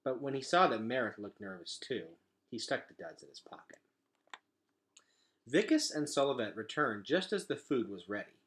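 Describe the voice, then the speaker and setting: Guy reading a kids book out loud, teacher style, pitch goes up and down a lot. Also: big pitch swings, moderate pace. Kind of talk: expressive storybook read-aloud.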